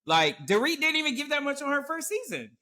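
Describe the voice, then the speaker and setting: A man hosting a casual podcast chat, talking with animated energy and often quoting others in a raised voice. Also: extremely high pitch, fast talker. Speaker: podcast host. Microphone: remote recording setup.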